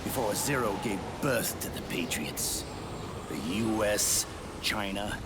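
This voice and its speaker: An actor playing a grandiose, menacing older villain in a video game cutscene, delivering a slow monologue to his brother. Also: lowish voice, very noisy. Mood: scheming. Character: brooding older male villain, Snake's brother.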